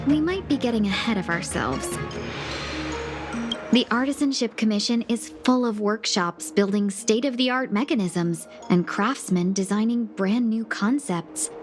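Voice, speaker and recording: A woman voicing a composed, courteous young female guide in a video game, measured and explanatory. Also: medium pitch, lively intonation, natural voice. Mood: wry, explanatory. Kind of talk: video game character acting. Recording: loud game music behind voice. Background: game music.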